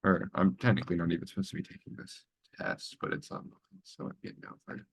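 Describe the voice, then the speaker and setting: Young guy with a cold, lowish voice, dry close sound, mumbling thru code and fixing his slips. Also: very animated, vocal fry, slightly rough, no room sound. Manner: mumbling, halting, self-correcting. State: getting over a cold. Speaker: student solving a coding test. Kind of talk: think-aloud coding walkthrough.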